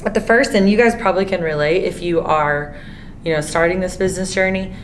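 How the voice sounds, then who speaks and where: Hoarse woman host, candid and rambling. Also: fairly low pitch, fairly quick, a little hiss or hum. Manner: candid, rambling with many fillers. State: hoarse, losing her voice. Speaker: podcast host. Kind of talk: casual monologue.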